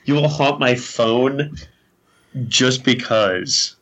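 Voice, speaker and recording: A man's voice: mid-range voice, drawn-out words, a bit bright. A man hosting a casual podcast chat, asking questions hesitantly.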